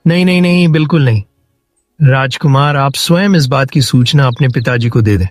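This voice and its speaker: A man's voice: mid-range voice, moderate pace, no room sound, clean studio sound, faint music behind, natural voice. A man playing a poor, honest farmer in a cartoon moral story, earnest and given to repeating phrases for emphasis. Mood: urgent, humble. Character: poor honest woodcutter farmer, kind and principled.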